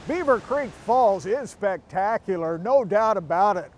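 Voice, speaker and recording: A man's voice: high voice, expressive, unhurried, background noise. A middle-aged man hosting a travel show, narrating in an upbeat, folksy way, sometimes on camera outdoors. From Oregon. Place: outdoors in woods and by a roadside.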